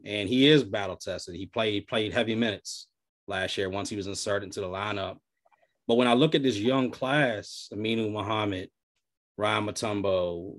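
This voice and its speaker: Low-voiced man chatting casually on a call. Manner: relaxed, drawn-out, lots of "you know". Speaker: podcast panelist. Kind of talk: casual chat. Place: small room at home, indoors. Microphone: webcam on video call.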